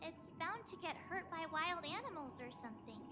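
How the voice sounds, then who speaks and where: A woman's voice: very high voice, sing-song, moderate pace. An actress voicing a small, girlish, blunt young character in scripted video game dialogue. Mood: puzzled, reasoning. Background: game music.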